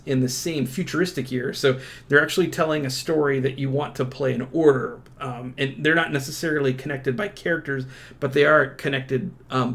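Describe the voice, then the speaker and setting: A man with a creaky, gravelly voice giving a casual product walkthrough in a halting, self-interrupting way. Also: fairly high pitch. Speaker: unboxing presenter.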